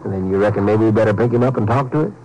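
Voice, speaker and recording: An actor playing a suspicious small-town western sheriff with an American accent, folksy and direct, in 1950s radio drama style. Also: male voice, lowish voice, rapid-fire, mellow, close to an everyday voice, poor audio. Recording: old 1950s radio broadcast.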